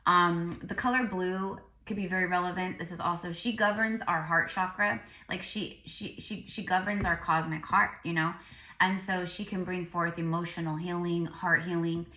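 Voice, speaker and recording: A woman with a lowish, bright voice gives a casual tarot reading. She sounds tired and a little crackly, rambling along as she addresses her viewers directly. The room adds a noticeable echo. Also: steady tone, normal speed. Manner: informal, rambling, addressing viewers as "you guys". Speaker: psychic medium and tarot reader.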